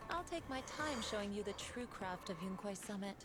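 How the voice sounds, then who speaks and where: An actress voicing a composed, confident, unhurried female mystic master in a video game. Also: mid-range voice, animated, close to an everyday voice. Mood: calm, assured. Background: clear music.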